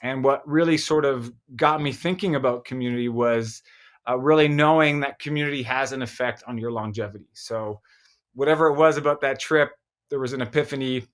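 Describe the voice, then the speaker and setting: A man born in Egypt, a filmmaker, talks through his story as a podcast guest. His speech is thoughtful and hesitant, full of small pauses and restarts. He sits in the middle pitch range for a man. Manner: thoughtful, many fillers and restarts. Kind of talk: casual interview conversation.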